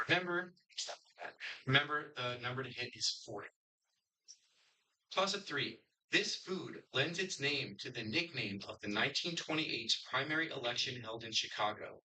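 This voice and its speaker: A middle-aged man from Connecticut, moderating a history quiz and reading questions in a brisk, upbeat way. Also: medium pitch, pushed and bright, poor audio.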